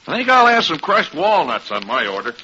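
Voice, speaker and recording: An actor playing a cheerful, slangy freeloading pal in a vintage radio sitcom. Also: male voice, fairly high pitch, huge pitch swings, brisk, pushed and bright, heavily acted. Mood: persuasive. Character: breezy, slangy male pal, cheerful moocher. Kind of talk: 1950s radio sitcom acting. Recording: faintly noisy, narrow old radio recording.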